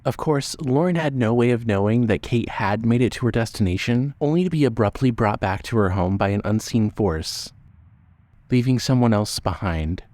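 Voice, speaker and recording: A man's voice: medium pitch, expressive, moderate pace, a little mellow, no room sound. A man narrating a scripted dramatic story as an audiobook-style narrator.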